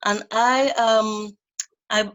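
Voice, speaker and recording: Slow, hesitant woman with a Dutch-tinged accent. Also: fairly high pitch, steady tone, fairly bright tone. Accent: Dutch-tinged English. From Guyana. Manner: hesitant, restarts with "um". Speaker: caller asking a question. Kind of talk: casual question on a call.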